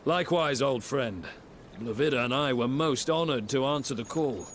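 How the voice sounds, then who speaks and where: A man's voice: medium pitch, moderate pace, a little hiss or hum, lightly acted. An actor playing an affable middle-aged father and researcher in a fantasy video game, polite and conversational. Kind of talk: scripted video game acting.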